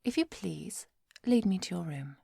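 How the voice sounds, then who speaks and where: A woman playing a kind, protective vampire hunter in a soft, often whispered ASMR roleplay, speaking in a gentle, measured and formal way. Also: on the low side, expressive, creaky, slightly rough, no room sound, clean studio sound, heavily acted. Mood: protective, calm. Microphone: close microphone, dry.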